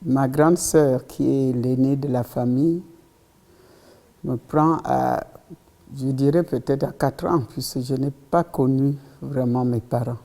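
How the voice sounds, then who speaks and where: Woman in her seventies, Cameroonian accent, unhurried delivery, reverberant hall, personal recollection. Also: extremely low pitch, warm tone. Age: 70s. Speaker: interview guest, school founder.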